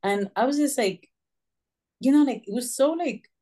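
Animated woman from Puerto Rico. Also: sits high, moderate pace. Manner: animated, acts out quoted voices. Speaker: guest, social worker and author. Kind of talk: conversational interview storytelling.

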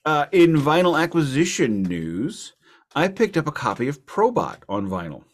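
A man hosting a podcast, chatting casually about music in a wry way, leaning deliberately on key words. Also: a little high, big pitch swings. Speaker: podcast host.

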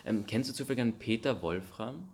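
A young man hosting a podcast, asking questions in a friendly, casual way with slang and halting restarts. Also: medium pitch, lively intonation, a little mellow. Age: young adult. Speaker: podcast host. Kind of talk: casual interview chat.

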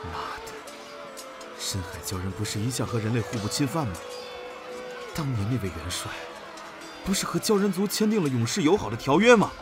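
A man's voice: medium pitch, very animated, brisk, long pauses, loud music behind the voice, natural voice. A man playing a proud, brooding young military officer in an intense, dramatic radio drama style. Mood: alarmed, furious. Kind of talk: Chinese radio drama acting.

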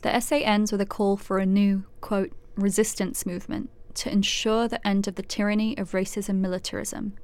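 A woman hosting with scripted documentary narration, composed and clearly phrased. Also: mid-range voice, flat delivery, normal speed, hardly any pauses, a little mellow. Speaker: host, narrator.

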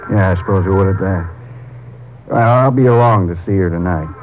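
An actor playing a worried, plain-spoken Texas rancher in a hesitant, earnest 1950s radio drama style. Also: male voice, sits low, a little fast, close to an everyday voice. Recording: dull, noisy old radio recording.

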